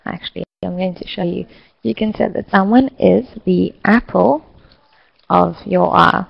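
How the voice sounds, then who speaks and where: Woman teacher w/ a really gravelly fry voice, dry close sound, kinda dull audio. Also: lowish voice, dry recording. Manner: slow, clear, encouraging teacher talk. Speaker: English teacher hosting an online class. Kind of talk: scripted online lesson facilitation.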